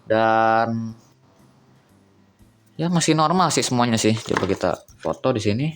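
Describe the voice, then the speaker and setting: A young man talks viewers through a budget phone, thinking aloud. His voice sits in an ordinary middle pitch. Soft music plays faintly under his chatter. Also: expressive, unhurried. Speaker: phone reviewer. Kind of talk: casual phone review vlog.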